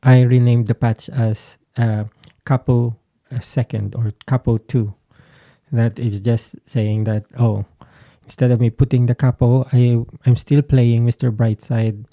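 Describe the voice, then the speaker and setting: A man's voice: medium pitch, dark tone, dry recording. A man giving a casual explanation as a guitarist vlogger, hesitant and searching for his words. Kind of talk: casual explanatory talk to camera.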